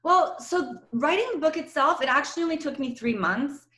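A woman's voice: high voice, fairly quick. Chatty woman author on an echoey line. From Croatia. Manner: chatty, laughs often, animated storytelling. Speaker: novelist guest. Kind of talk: casual phone interview. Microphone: phone call.

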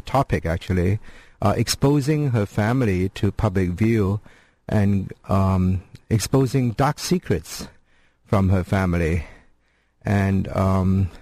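A man hosting a college radio interview, talking haltingly with many fillers and restarts. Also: fairly low pitch, warm tone, no echo. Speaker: radio host.

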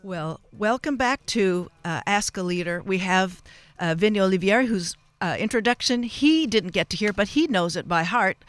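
A woman hosting a live radio interview in a studio, chatty and halting, often drifting off on asides. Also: medium pitch, animated, faint music behind. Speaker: radio show host. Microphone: studio microphone.

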